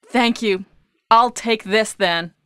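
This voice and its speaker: A high, slow, earnest game heroine. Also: female voice, noisy background. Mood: calm, hesitant. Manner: stilted, slow, over-enunciated delivery. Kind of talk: 1990s video game voice acting. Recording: long hollow reverb.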